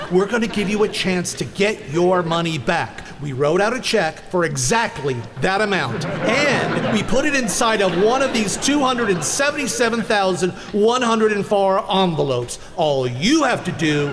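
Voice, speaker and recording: A man plays a brisk quiz show host from 1950s radio comedy. His high voice swings widely as he announces with showy emphasis. An audience is audible behind him. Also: lively intonation, over a noisy crowd.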